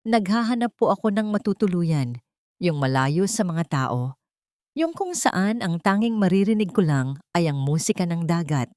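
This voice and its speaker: Audio story role: weary young female musician, gentle and melancholy, read by a woman, dry sound. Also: lowish voice, big pitch swings, moderate pace, no echo. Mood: weak, wistful. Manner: soft, polite, melancholy.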